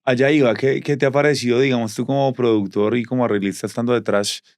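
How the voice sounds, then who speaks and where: Fast-talking male interview host. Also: medium pitch, fairly even intonation, a little mellow, no echo. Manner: long, winding questions. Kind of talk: casual conversational interviewing.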